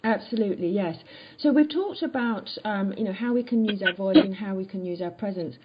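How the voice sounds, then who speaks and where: A woman's voice: medium pitch, brisk, muffled recording, very noisy. A woman hosting a conversational interview, reflective and affirming, with tentative phrasing. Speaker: podcast host.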